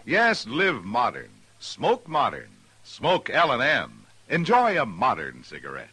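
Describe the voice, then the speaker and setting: A man's voice: mid-range voice, huge pitch swings, measured pace, pushed and bright, soft background music. A man reading a punchy, emphatic commercial as a 1950s radio announcer.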